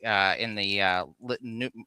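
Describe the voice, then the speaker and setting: A man with a very bright, punchy voice that comes through clean and crisp, close and dry. He co-hosts a livestream in a casual, enthusiastic, rambling way. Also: on the low side, steady tone, moderate pace, no echo. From Canada. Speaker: co-host of a geek culture livestream. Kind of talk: casual chat, showing off finds.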